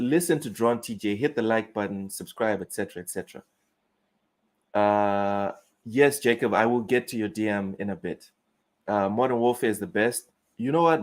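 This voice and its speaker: A young man from Mozambique with a Southern African accent, chatting casually on a gaming live stream, reading chat aloud and riffing bluntly. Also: fairly low pitch.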